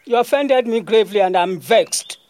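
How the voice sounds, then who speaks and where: A vexed tree spirit, played by a man in an audio drama. The voice is high, confrontational and full of accusation. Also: expressive, moderate pace, darkish tone, no room sound. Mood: vexed, accusing.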